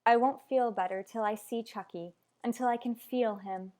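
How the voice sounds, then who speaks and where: Woman as a fretful clingy mom in an old-time radio soap, highish voice, very earnest and emotional. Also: moderate pace, darkish tone, close to an everyday voice. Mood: anxious. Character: anxious, clinging mother, melodramatic and defensive. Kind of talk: old-fashioned radio soap opera acting.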